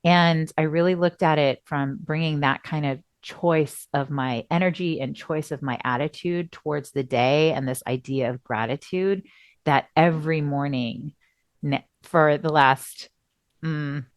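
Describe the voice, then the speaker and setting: A middle-aged woman with a low voice co-hosts a casual podcast, her voice still slightly worn from a recent cough. She tells stories expressively, acting out what people said. The sound is dry and close, with no room around it. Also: unhurried, no echo. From Southern California. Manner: expressive storyteller, acts out quotes. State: recovering voice, coughing. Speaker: podcast co-host. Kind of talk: casual chat.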